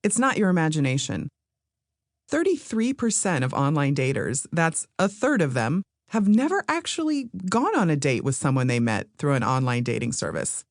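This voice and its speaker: A woman with a low-pitched voice reads scripted nonfiction in a measured, explanatory manner. Her intonation moves around a lot as she addresses the listener directly. The sound is very dry, with no room echo. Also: very animated. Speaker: author reading own audiobook.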